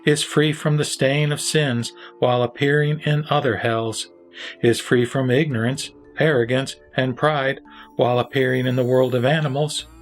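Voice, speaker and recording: A man narrates scripture in a formal, declamatory way. His medium-pitched voice is measured and deliberate, with music playing behind it. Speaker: narrator and reader. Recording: background music under the voice.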